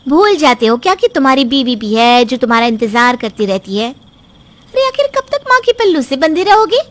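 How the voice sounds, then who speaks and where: A woman voicing a scheming, manipulative young wife in an animated story, talking in a theatrical, whining way. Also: sits high, normal speed. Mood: nagging. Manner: theatrical, whining, fake tearful. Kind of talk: cartoon storybook voice acting.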